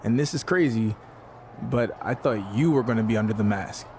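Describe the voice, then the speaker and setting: An actor playing an earnest, slightly wary champion wrestler in a plain-spoken, low-key way for a video game. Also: male voice, normal speed, darkish tone, a little hiss or hum, natural voice. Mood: hesitant, sincere. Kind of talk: naturalistic video game cutscene acting.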